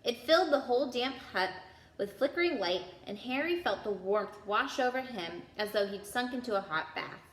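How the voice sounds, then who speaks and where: Woman, storytime reader, lively swooping intonation, fairly high voice, expressive narration, echoey room. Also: normal speed. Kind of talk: expressive children's book read-aloud. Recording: roomy indoor echo.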